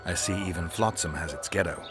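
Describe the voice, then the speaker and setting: An actor playing a gruff, guarded monster hunter in a video game, terse and dry. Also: male voice, deep voice, a little fast, lightly acted. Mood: dry, curt. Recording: game music behind.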